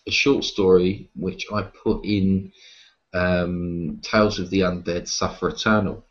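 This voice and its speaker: A man being interviewed, talking casually and reflectively about his writing, with hesitant pauses. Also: deep voice, steady tone, highs cut off. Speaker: interview guest, horror writer and publisher.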